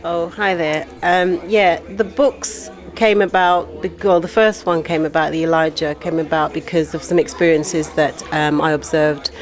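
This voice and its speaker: A woman's voice: low-pitched, fairly even intonation, normal speed, hardly any pauses. A middle-aged woman from Guyana, an author and poet, talking in a casual interview with many fillers and restarts.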